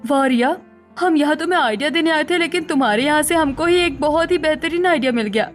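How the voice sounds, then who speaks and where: Woman playing sweet helpful young cartoon daughter-in-law, high voice, music behind. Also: quick pace, close to an everyday voice. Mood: friendly. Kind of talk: animated cartoon family drama.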